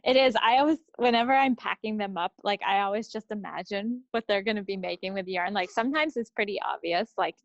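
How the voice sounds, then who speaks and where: A woman's voice: medium pitch, fairly quick, hardly any pauses, dry recording. An American woman co-hosting a podcast in casual chat, on a slightly dull recording. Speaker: podcast co-host.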